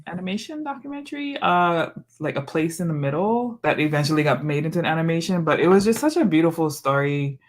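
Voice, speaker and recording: A woman's voice: low voice, lively intonation, quick pace, few pauses. Casual, reflective podcast host, a woman. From Hawaii, USA. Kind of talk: casual chat.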